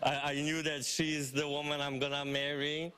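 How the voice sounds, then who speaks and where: A man with a Polish accent, a guest answering a talk show host in a hesitant way with many pauses. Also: on the high side, flat delivery, sharp tone, a little hiss or hum. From Poland.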